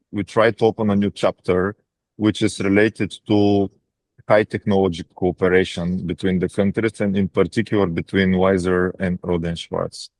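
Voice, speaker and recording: A man with a Bulgarian accent answering as a company executive on an earnings call, haltingly and often repeating words mid-sentence. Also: low-pitched, dry recording. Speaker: defense practice lead at a technology company.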